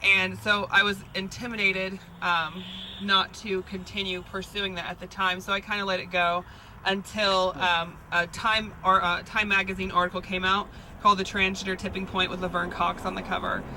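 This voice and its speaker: A woman with a soft, feminine voice giving an earnest, hesitant speech at an outdoor rally, with frequent ums. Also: mid-range voice, little pitch movement, normal speed. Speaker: rally speaker. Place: outdoors at a rally near roadway. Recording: outdoor rally with traffic noise. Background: passing cars.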